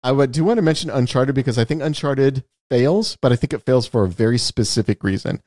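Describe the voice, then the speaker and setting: A man's voice: mid-range voice, a little fast, darkish tone, dry recording, studio quality. A man hosting a casual film discussion podcast, giving opinions with wry, sarcastic asides. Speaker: podcast host.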